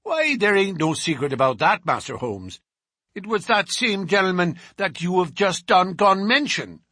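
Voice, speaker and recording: A man voicing a menacing male prizefighter thug who talks in rough, ungrammatical threats. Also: high-pitched, very animated, normal speed, pushed and bright, no echo, studio quality, pitched above the performer's own voice, heavily acted. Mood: sullen.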